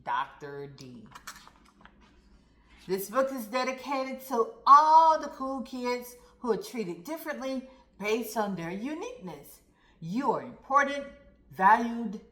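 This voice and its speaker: Female read-aloud narrator for young kids, slow and deliberate with animated melody, addressing the children directly. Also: fairly high pitch. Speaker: storyteller reading aloud to children. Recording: roomy, echoey sound.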